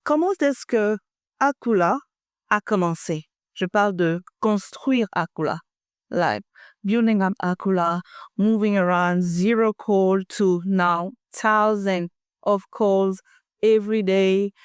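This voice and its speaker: A woman's voice: mid-range voice, measured pace, clean tone, no echo, clean studio sound. A woman hosting a podcast interview, who asks long questions and switches between English and French. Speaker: podcast host.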